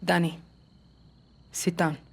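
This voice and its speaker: A woman's voice: fairly low pitch, flat delivery, slow, vocal fry, husky, full character voice. An actress playing a blunt, hot-headed young guerrilla woman in a video game, with an emotional delivery. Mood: guarded defiance. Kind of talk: cinematic video game acting.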